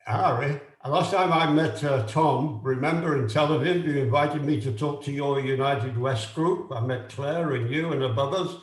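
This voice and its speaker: A man with an English accent, a guest joining a radio talk show by video call from home, speaking in a relaxed, conversational way. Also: medium pitch, moderate pace, hardly any pauses. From England. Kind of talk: casual interview. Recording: echoey remote call line.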